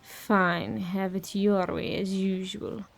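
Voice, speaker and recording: A woman's voice: medium pitch, little pitch movement, slow, creaky. An actress playing a tough, sardonic Bulgarian woman minding a child in an audio horror drama, coaxing and wry. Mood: irritated.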